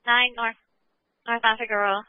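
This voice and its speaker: A woman's voice: on the high side, little pitch movement, moderate pace, smooth, dry recording. A woman working as a police radio dispatcher, relaying traffic in a clipped, procedural way over the radio. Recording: thin phone-like police radio channel.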